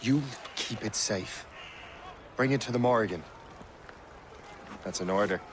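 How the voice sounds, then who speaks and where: An actor playing an earnest, resolute young captain in a dramatic video game. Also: male voice, lowish voice, unhurried, noisy background, lightly acted. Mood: forceful. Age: young adult.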